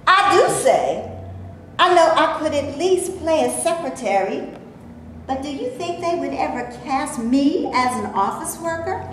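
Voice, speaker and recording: In a very echoey room with faint noise, a woman plays a sassy, fed-up film actress on stage. Her high voice is indignant and colloquial, the feisty talk of someone tired of maid roles. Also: animated, unhurried, fairly bright tone. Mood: defiant. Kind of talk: staged play reading. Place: echoey room before a live audience. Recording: echoey room with noise, live audience recording.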